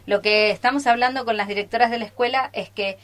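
A woman's voice: on the high side, fairly even intonation, fast talker, fairly bright tone. A woman with an Argentine accent talks casually and enthusiastically on live radio as a theatre teacher visiting the studio. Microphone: studio microphone.

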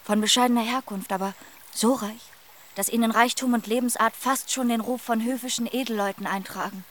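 A woman's voice: on the high side, steady tone, brisk, a bit bright, close to an everyday voice. An actress in a radio play voicing a young noblewoman who speaks of her misfortune with formal, earnest sorrow. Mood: sorrowful.

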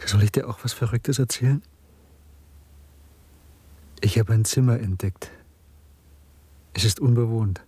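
An actor playing a guarded, quietly confiding lover in intimate radio drama dialogue. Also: male voice, on the low side, a little slow, pauses often, breathy edge, no room sound. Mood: confiding wonder.

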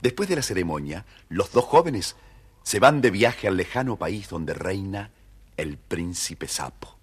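A man with a husky voice, expressively narrating a scripted children's story. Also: sits low, lively intonation, measured pace.

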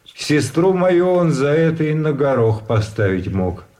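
An actor playing a pedantic, wordy gentleman prisoner of war in a radio play, reasoning in a measured way. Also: on the high side, very animated. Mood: wistful. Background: instrumental music.

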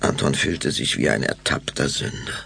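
A man narrating a scripted story for a German audio drama in a measured, suspenseful way. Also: very deep voice, gravelly, sharp tone, breathy edge.

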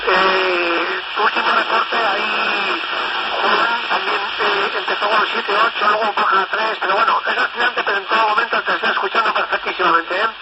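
A man's voice: high voice, fairly even intonation, normal speed. A man chatting casually over CB radio, enthusiastic and rambling, often repeating himself. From northern Spain. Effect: FM CB radio transmission. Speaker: amateur CB radio operator. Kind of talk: casual radio contact chat. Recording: noisy, dull CB radio transmission. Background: loud radio static and noise.

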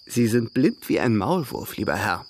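Lively melodic delivery, urbane young gentleman, courtly, playful, eagerly persuasive, radio drama, faint background noise. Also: on the low side, moderate pace. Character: urbane, persuasive young brother, smooth-talking matchmaker.

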